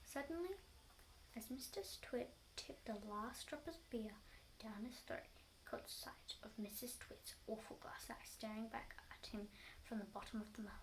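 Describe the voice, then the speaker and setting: A woman reading a children's story aloud, somewhat halting and often going back over words. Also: on the high side, noisy background. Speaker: storybook reader.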